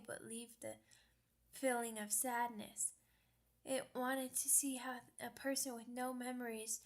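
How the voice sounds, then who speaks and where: Slow, high-voiced timid werewolf pup played by a woman. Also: lightly acted. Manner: childlike, hesitant, halting. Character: young werewolf pup, orphaned, timid, sweet and earnest. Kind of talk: ASMR roleplay.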